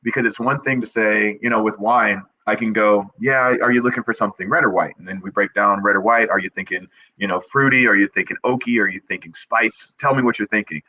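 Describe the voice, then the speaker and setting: A man's voice: fairly low pitch, brisk, few pauses, pushed and bright, dry recording, lo-fi sound. A young man, a perfumer guest on a video call, talking earnestly and eagerly, full of restarts and fillers. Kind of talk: casual interview chat.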